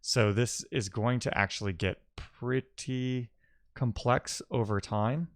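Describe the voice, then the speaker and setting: A man's voice: medium pitch, slow, dry recording, clean studio sound. A man talking casually through technical ideas as a live-coding streamer, musing aloud and posing questions to himself.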